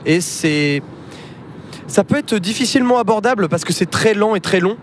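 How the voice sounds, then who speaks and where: A young man talking enthusiastically, full of hesitations as he reels off lists. His voice sits a little high. A faint car hum runs underneath. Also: lively intonation, normal speed. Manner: enthusiastic, list-making, hesitant. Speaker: podcast co-host. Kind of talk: casual chat. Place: inside a moving car.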